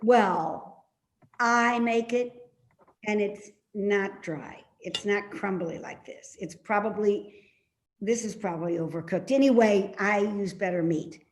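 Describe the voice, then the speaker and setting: A woman's voice: medium pitch, slow, a little hiss or hum. An actress playing a fussy, suspicious older mother in a naturalistic play reading, with a pedantic, contrary way of talking. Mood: complaining, suspicious. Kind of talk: naturalistic readers theater acting.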